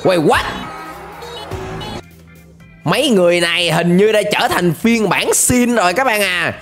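A young man giving excited, exclamatory reaction commentary as a video host, dragging out words for drama, close to the microphone. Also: high-pitched, a little slow. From Vietnam. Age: young adult. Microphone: close desk microphone. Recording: music behind the voice.

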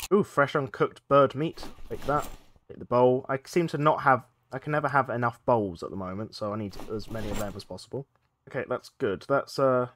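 A man narrating his own gameplay in a relaxed, rambling way. His nose is a little blocked from a cold, which gives his voice a stuffed-up sound. He talks into a desk microphone, mid-pitched and conversational. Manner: chatty, thinks aloud while playing. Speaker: let's play gaming host. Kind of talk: casual gameplay commentary.

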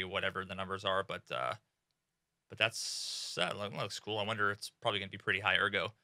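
A young man in casual gaming commentary from a small home room, excitable and swearing freely, with many fillers. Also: lowish voice, dry recording, studio quality. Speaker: gaming streamer.

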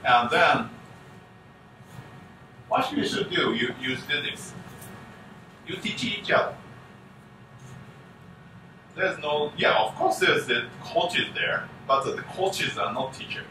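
A man's voice: on the high side, lively intonation. Man in an echoey hall. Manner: halting non-native English, frequent restarts. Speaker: conference speaker. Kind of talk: conference keynote talk. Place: conference room with projector screen. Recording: echoey room, distant mic, faint hum.

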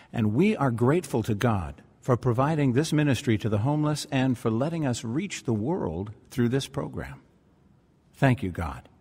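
A man's voice: medium pitch, darkish tone, husky. A man announcing on a radio program, reading a scripted devotional appeal in an earnest, prayerful manner. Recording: long reverberant hall sound.

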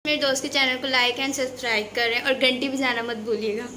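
A woman's voice: high voice, steady tone, brisk, noisy background. A young woman, a friend of the vlogger, cheerfully asking viewers to subscribe. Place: home room, indoors.

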